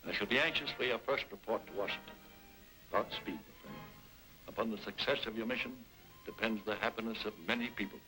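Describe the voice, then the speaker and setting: An actor playing a dignified president in an old-time drama, speaking formally and with measured solemnity. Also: male voice, fairly low pitch, bright, forceful tone, poor audio. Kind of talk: 1930s-40s film serial acting. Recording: vintage recording with prominent music.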